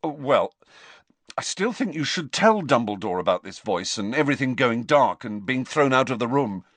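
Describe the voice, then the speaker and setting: A man's voice: mid-range voice, sing-song, moderate pace, a bit bright, no echo, voice pushed up. A man voicing an earnest teenage boy in a storybook, urgent, blunt and impatient. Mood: insistent.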